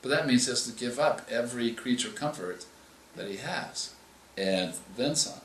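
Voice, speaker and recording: A male author answering interview questions, explaining in a measured way and often restarting his phrases. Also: mid-range voice, animated, a little hiss or hum. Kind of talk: interview answers on writing craft. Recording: echoey room with faint ambience.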